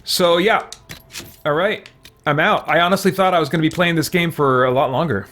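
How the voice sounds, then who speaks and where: A man's voice: on the high side, brisk, fairly bright tone. A man giving casual gameplay commentary on a livestream, thinking aloud in a rambling, hesitant way and chatting with viewers.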